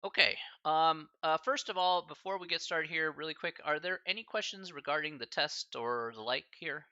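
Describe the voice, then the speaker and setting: A man's voice: fairly high pitch, moderate pace, pushed and bright, clear, resonant tone, no echo, muffled recording. A man teaching a live-streamed math class in a casual, chatty way, full of fillers and slang asides. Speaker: math instructor.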